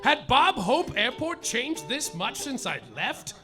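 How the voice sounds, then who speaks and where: A man hamming up a hard-boiled noir private eye. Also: very high-pitched. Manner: mock-noir deadpan, drawn-out punchlines. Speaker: comedic detective narrator. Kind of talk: live stage parody of noir narration. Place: live theater stage. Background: live music and audience laughter.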